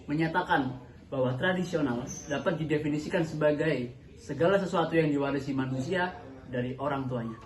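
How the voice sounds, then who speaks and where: A young man from South Sumatra, presenting a class lesson as a student narrator, reading explanations in an earnest, steady way. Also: a little high, fairly quick, roomy sound, low-quality recording. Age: young adult.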